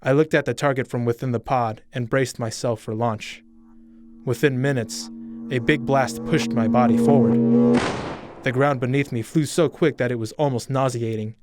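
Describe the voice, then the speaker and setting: A young man reading a first-person audiobook story in a slow, measured, brooding way. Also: medium pitch, fairly even intonation, darkish tone. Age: young adult. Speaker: narrator.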